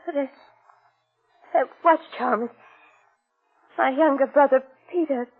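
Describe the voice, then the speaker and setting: High-voiced, anguished young widow. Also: female voice. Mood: distressed disbelief. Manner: breathless, anguished, halting. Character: grieving, shocked young widow. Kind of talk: 1940s radio acting. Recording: old muffled radio recording with music.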